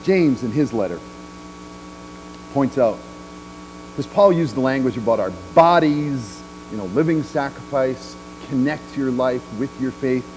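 A man's voice: medium pitch, expressive, unhurried, a little mellow, faint music behind, poor audio. A middle-aged man, a pastor, preaching a sermon in a large church sanctuary, walking and gesturing as he talks in a conversational way, often asking "right?". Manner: walks the chancel gesturing while speaking.

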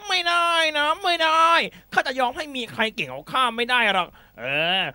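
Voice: sits high, wildly swooping pitch, moderate pace, bright, forceful tone, no room sound, exaggerated voice. A performer voicing a boastful, gullible bully snake with exaggerated delivery in a children's animal fable. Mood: blustering, menacing. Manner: exaggerated, threatening then whining.

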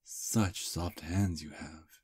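Ancient vampire prince, played by a man: courtly seducer, breathy husky voice, slow intimate ASMR delivery. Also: low-pitched, fairly even intonation, darkish tone, full character voice. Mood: tender, wistful. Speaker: ASMR roleplay performer. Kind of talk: intimate ASMR roleplay acting.

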